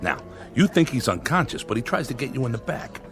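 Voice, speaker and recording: A man's voice: medium pitch, big pitch swings. A man playing an impatient TV director. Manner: brisk, impatient and coaxing. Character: harried male TV show director. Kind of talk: radio drama acting. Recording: radio drama with music behind.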